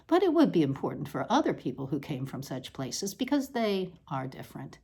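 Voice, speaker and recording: A woman with a fairly low voice reads literary prose aloud as a performance. Her delivery is deliberate and declamatory, with firm emphasis on words that come round again. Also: big pitch swings, a little mellow, husky. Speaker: reader of a literary essay. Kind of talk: scripted dramatic reading of a literary parody.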